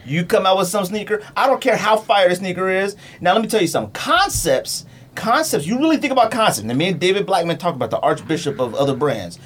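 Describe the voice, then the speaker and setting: A man's voice: sits high, lively intonation, quick pace, fairly bright tone. A man hosting a podcast, arguing casually and emphatically in slangy, repetitive phrases. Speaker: podcast host. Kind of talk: animated sneaker debate, casual chat.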